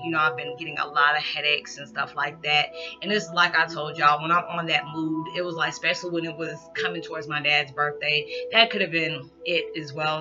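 A woman in her thirties giving a loud, animated motivational talk to her camera in a small living room, recorded on a laptop's built-in mic. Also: sits low. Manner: animated, loud, rowdy, lots of hand gestures. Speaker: vlogger. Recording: dull lo-fi laptop audio with background music.

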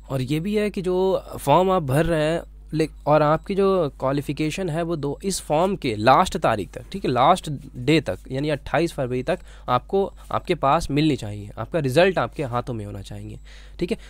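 A man's voice: mid-range voice, no room sound. A man teaching informally as an explainer, repeating points and often checking in with short tags. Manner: repetitive, checking in with "okay" tags. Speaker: teacher explaining an exam notification.

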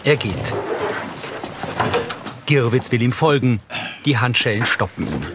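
A man's voice: medium pitch, animated, measured pace, background noise. A man narrating scenes in a neutral scripted style for an audio description track.

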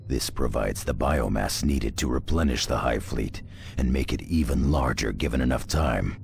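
An actor playing a grave, seasoned space marine mentor, solemnly lecturing with a gravelly voice in a video game briefing. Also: male voice, rumbling low, a little hiss or hum.

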